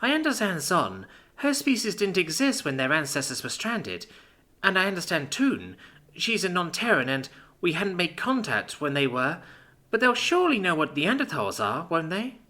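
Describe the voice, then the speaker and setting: A man voicing an earnest young adult woman who speaks many languages, in a soft, high voice. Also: huge pitch swings, normal speed, full character voice. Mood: hurt.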